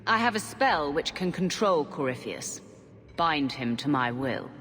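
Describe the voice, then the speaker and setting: An actress playing a determined, haughty warrior commander in a fantasy game, stern, imperious and insistent. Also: female voice, low voice, very animated, unhurried, fairly bright tone, husky, heavily acted. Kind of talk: dramatic fantasy game acting. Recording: long stone-hall reverb.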